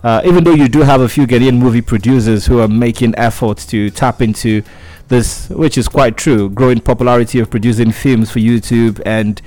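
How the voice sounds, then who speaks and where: A man's voice: medium pitch, fairly even intonation, brisk, hardly any pauses, a little mellow. A man hosting a radio show, chatting through entertainment news with lots of fillers and restarts.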